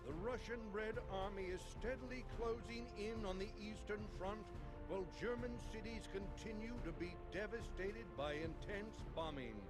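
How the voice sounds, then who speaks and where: An actor playing a 1940s newsreel announcer, reading scripted war news in a formal, declarative way. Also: male voice, high-pitched, close to an everyday voice. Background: loud music.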